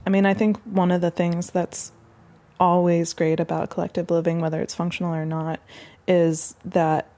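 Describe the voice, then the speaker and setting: A woman's voice: lowish voice, little pitch movement, normal speed, warm tone. A woman speaking casually and reflectively in an interview. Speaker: resident of a collective house, interviewee.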